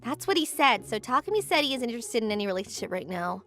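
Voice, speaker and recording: Casting: woman as tsundere teenage childhood friend, high voice, expressive, huffy, manga dub romcom. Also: fast talker, soft background music, full character voice. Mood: persuading. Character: Yuri, tsundere teenage girl, jealous childhood friend in denial.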